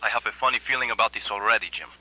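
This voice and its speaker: A man's voice: lowish voice, steady tone, rapid-fire, sharp tone, dry recording, poor audio. A man playing a polite, wary drug lord in a satirical radio play. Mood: wary, courteous.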